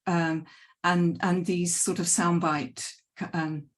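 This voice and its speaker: Halting woman with a lowish voice. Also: level tone. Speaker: questioner in panel discussion. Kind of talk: conversational, unscripted question.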